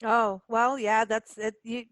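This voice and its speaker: A woman hosting a radio interview, eager and halting, with lots of fillers and repeated words. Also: on the high side, little pitch movement, fairly bright tone, no echo, studio quality. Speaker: radio show host. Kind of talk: casual interview chat.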